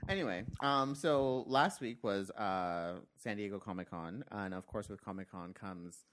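A man's voice: lowish voice, a little slow, fairly bright tone, slight background noise. A man hosting a casual group podcast, playful and giggly. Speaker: podcast host.

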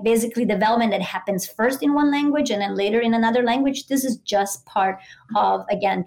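A woman's voice: medium pitch, gravelly. A middle-aged woman, a native Italian speaker with an Italian accent, explaining things conversationally as an expert interview guest.

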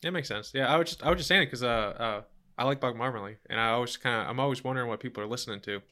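A man's voice: medium pitch, racing, bright, forceful tone. A man interviewing on a call in an easygoing way, with many fillers like "like" and light laughs. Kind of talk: casual conversation on a call.

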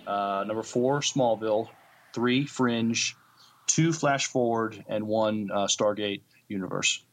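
A man co-hosting a casual podcast, speaking haltingly with many hesitant pauses. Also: lowish voice. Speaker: podcast co-host. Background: loud music bed.

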